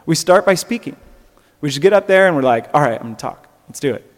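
A man's voice: fairly high pitch, expressive, quick pace, in a large hall. A man preaching a sermon in an earnest way, rising at times to emphatic peaks. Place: church.

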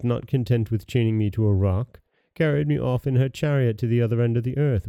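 A man's voice: medium pitch, vocal fry, dry recording, highs cut off, close to an everyday voice. A man voicing an earnest, devoted, lovelorn king in a storybook tale.

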